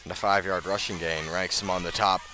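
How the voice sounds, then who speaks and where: A man doing live radio play-by-play of high school football, often halting and correcting himself as he goes. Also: fairly even intonation, noisy background. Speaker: play-by-play sports announcer.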